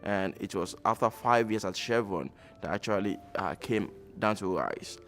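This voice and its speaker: A Nigerian man in his thirties, speaking reflectively with frequent pauses in a documentary interview. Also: lowish voice, a bit bright. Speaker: alumnus interviewee.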